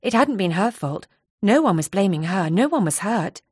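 A woman's voice: medium pitch, very animated, moderate pace, slightly rough, no echo, studio quality. A woman voicing a nervous, chatty female office worker who confides eagerly and a little breathlessly. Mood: confiding.

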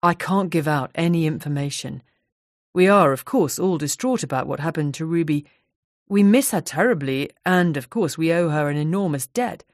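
A woman's voice: low voice, a little mellow, dry recording, studio quality. A woman voicing a middle-aged male office manager who politely but firmly refuses to share information.

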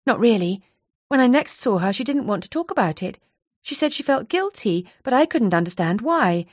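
A woman's voice: a little high, lively intonation, moderate pace, no echo, clean studio sound, pitched above the performer's own voice. A woman voicing a nervous, chatty female office worker who confides eagerly and a little breathlessly. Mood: confiding.

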